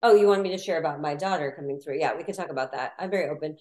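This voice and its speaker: A woman astrologer, a podcast guest, chatting casually and telling quick animated stories with voiced asides. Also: low voice. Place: Florida.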